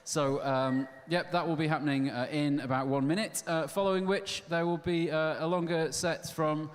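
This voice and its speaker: A man's voice: a little high, steady tone, normal speed. Hesitant man hosting live. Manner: hesitant, many fillers, joking. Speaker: event host. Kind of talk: live event introduction. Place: live venue stage with audience. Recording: long hall reverb.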